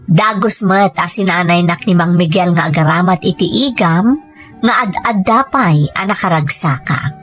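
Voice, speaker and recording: A woman's voice: fairly low pitch, moderate pace, lightly acted. A woman in an Ilocano audio drama playing an earnest young woman living among forest rebels. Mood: reflective. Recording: loud music over the voice.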